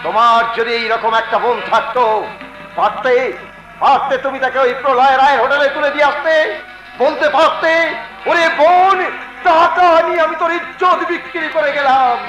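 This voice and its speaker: A brave, righteous working-class hero in a jatra. He declaims with open emotion in a high-pitched voice. Music plays behind the echoing stage sound. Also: steady tone, normal speed. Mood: indignant. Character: righteous, protective rickshaw puller, brave and sentimental. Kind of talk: Bengali jatra folk-theatre acting. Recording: echoey stage recording with music.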